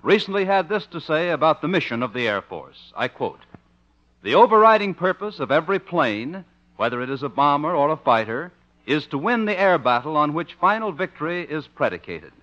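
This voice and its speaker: A man's voice: a little high, very animated, brisk, fairly bright tone. An American man reading a formal, emphatic script as a 1950s radio announcer. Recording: muffled vintage radio recording, music.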